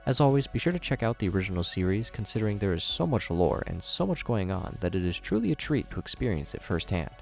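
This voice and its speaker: A man hosting a video review, narrating scripted commentary in an expressive, conversational presenter's manner. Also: on the low side, fast talker, creaky, hardly any pauses, soft background music. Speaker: YouTube host, horror series reviewer.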